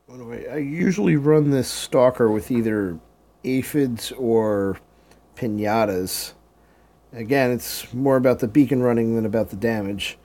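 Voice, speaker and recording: A man getting over a cold, hoarse and often clearing his throat, giving casual commentary while streaming a game. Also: mid-range voice, a little slow, dark tone. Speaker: gaming live streamer.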